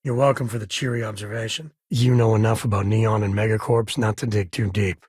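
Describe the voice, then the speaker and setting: Folksy spacefaring dad, wry, good-hearted, casual, husky voice, dry close sound, video game dialogue. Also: male voice, fairly low pitch, fairly even intonation, a little fast, a little mellow, no room sound. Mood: easygoing, dry. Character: wry, good-hearted male space explorer and devoted father.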